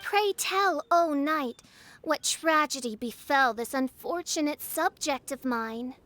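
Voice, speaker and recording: High-voiced, pompous gothic princess. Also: female voice, slow, fairly bright tone, dry recording. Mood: haughty. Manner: pompous, archaic, melodramatic diction. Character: grandiose theatrical teenage princess of doom. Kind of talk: theatrical video game voice acting. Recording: music behind the voice.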